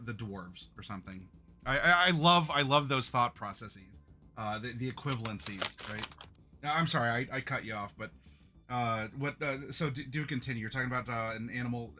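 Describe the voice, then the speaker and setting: A man hosting a tabletop roleplaying stream, chatting with his players. His voice is bright and forward. He talks loosely, trips over his own words and laughs easily. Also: medium pitch, normal speed, soft background music. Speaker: game master and show host. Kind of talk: casual pre-game chat on a stream.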